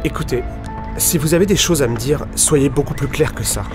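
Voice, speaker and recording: A man's voice: mid-range voice, fairly quick, music in the background, lightly acted. A man acting as an earnest, anxious ocean scientist in an audio drama. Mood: uneasy, puzzled. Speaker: voice actor.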